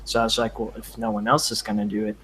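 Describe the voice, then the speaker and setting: A man's voice: on the low side, completely flat delivery, fast, fairly bright tone, slight background noise. A man who is a guest on a voice chat, telling a story in an easygoing way. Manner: easygoing, storytelling, laughs now and then. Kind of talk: casual voice chat.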